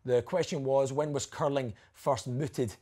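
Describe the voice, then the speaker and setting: A man's voice: medium pitch, steady tone, unhurried, dark tone, breathy edge. A man in his thirties hosting a show with casual, comic banter. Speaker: show host.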